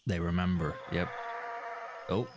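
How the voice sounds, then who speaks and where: A man's voice: low-pitched, fairly even intonation, unhurried, background noise. A man hosting a reaction video, giving casual, conversational commentary, musing aloud and interjecting.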